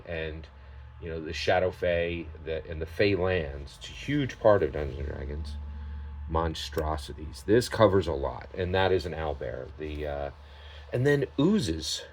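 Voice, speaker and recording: A man giving unscripted, opinionated commentary as a tabletop gaming vlogger, emphatic and halting, often tagging his points with a questioning word. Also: low-pitched, lively intonation, a little mellow, slight background noise. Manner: emphatic, halting delivery with repeated tag questions.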